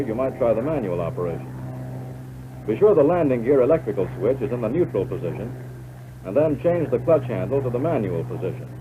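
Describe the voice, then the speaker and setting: An American man reading scripted instructional narration for a 1940s military training film in a measured, instructive way. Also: mid-range voice. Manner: measured, instructive, addressing the listener as pilot. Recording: tinny band-limited 1940s film soundtrack, loud noise.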